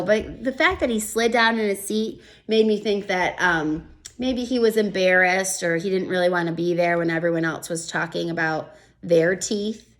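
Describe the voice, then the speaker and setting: A woman reading a children's picture book aloud and stopping to explain her thinking, like a teacher. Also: mid-range voice. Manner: gentle, expressive storybook reading with thinking-aloud asides. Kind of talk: children's read-aloud with comments.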